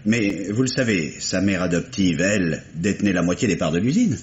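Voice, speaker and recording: A man's voice: sits low, expressive, brisk. An actor playing an eager, deferential police assistant who reports pointedly to his superior in an old radio mystery. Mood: eager, reporting. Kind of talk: 1950s French radio mystery acting. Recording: dull, noisy vintage radio recording.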